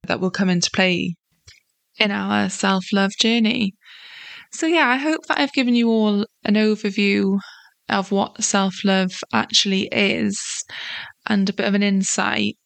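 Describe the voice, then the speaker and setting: A woman hosting a solo podcast, talking in an earnest, encouraging way with a slightly croaky voice from a sore throat. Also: mid-range voice, fairly bright tone, no echo. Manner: earnest and encouraging, loose with fillers. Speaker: podcast host.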